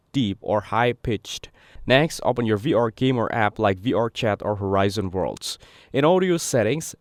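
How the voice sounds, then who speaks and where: A man's voice: on the low side, no echo. Man narrating a tutorial, very dry recording. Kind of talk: scripted step-by-step tutorial narration.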